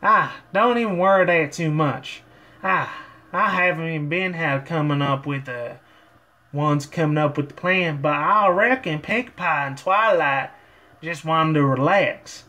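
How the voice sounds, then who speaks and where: A man's voice: a little high, measured pace, sharp tone. Man doing a Southern country farm pony girl. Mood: reassuring, casual. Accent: American Southern.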